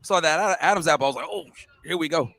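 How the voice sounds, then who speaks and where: A man spars with students in a slightly higher-than-usual voice, blunt and mocking. He answers fast and brushes off challenges with sarcasm. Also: sharp tone. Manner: blunt and mocking, quick comebacks. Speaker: campus debater. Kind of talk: debate with students.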